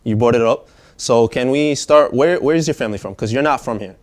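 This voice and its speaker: A young man from Staten Island, New York, hosting a casual podcast interview in an upbeat, relaxed way with many fillers. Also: medium pitch, fairly quick. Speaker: podcast host.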